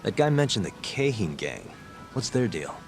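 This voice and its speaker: A man's voice: fairly low pitch, very animated, normal speed, creaky, darkish tone. An actor playing a cool, unflappable male hero in a video game, dry and understated with wry sarcasm. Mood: calm. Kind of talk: video game cutscene acting. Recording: prominent music behind the voice.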